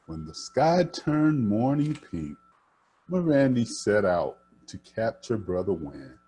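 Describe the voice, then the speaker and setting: An older man hosts a children's storytime with a husky, low-set voice. His delivery is slow and highly animated, with the odd stumble as he rereads a phrase. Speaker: storytime host reading picture books. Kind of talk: expressive read-aloud narration for children.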